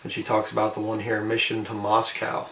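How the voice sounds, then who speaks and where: A man's voice: lowish voice, monotone, fairly quick, fairly bright tone, recorded from a distance, a little hiss or hum. A man hosting a homemade video, reading aloud from a printed page and adding musing, informal commentary. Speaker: video host reading and commenting on an old newspaper. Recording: roomy, set back from the mic.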